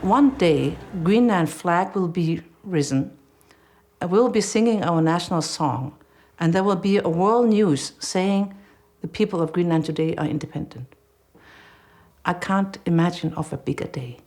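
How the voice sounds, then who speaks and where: A woman politician speaking firmly and emphatically in an interview, with clear pride. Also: fairly low pitch, lively intonation, a little slow, darkish tone. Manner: firm, emphatic, proud. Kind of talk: interview answer.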